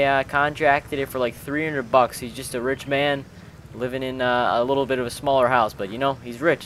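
A man's voice: medium pitch, a bit bright, slight background noise. A man hosting a gaming video, chatting in informal slang as he roleplays and comments on the game with friends. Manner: chatty, informal, peppered with bro-style slang.

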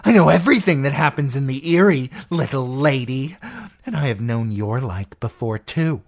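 An audiobook narrator voicing a jealous, unhinged middle-aged noblewoman who accuses in a shrill, spiteful voice that rises into screeching. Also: very animated, slow. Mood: furious. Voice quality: shrill, piercing. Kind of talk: audiobook character voice.

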